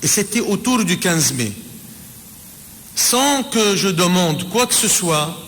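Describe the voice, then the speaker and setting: A man's voice: sits high, sing-song, a bit bright. Brief: man in his 40s, native Arabic speaker, reflective testimony, long reverb tail in the room. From Palestine. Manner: measured, reflective, pauses and restarts mid-sentence. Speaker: ambassador giving a tribute. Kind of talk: spoken personal testimony. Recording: reverberant room with faint background noise.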